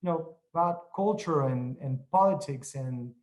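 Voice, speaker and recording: A man with a Mexican accent, a journalist on an online panel, speaking in halting sentences full of restarts. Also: mid-range voice, a little mellow. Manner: halting, many restarts and repeated words.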